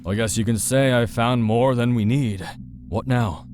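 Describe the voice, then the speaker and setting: A man voicing a gruff, blunt older partner in a visual novel. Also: medium pitch, a little slow, a little mellow, slight background noise, close to an everyday voice. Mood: approving.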